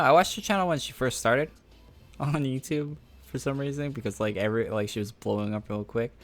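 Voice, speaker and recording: Gravelly-voiced young man streaming. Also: fairly low pitch, a bit bright, slight background noise. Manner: laid-back, rambling, laughs often. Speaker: game streamer. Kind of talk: casual stream chat reading viewer questions.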